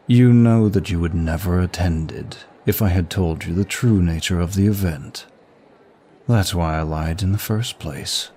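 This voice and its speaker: A man playing a suave, teasing demon prince of lust in an ASMR roleplay, bored and sadistic, with a languid, husky delivery. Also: sits low, darkish tone, slight background noise. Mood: teasing, amused.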